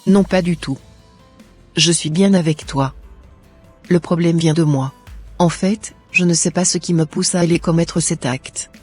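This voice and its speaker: A woman playing a guilt-ridden young wife in an animated drama, speaking in an earnest, formal way. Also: on the low side, measured pace, pauses often, slightly rough, soft background music. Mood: confessing, ashamed.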